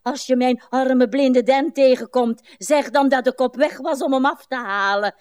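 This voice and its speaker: An actress playing a weary, sharp-tongued, self-pitying old woman in a radio drama, with a querulous, dramatic delivery. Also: sits high, fairly even intonation, moderate pace, clean tone, natural voice. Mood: plaintive. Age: older.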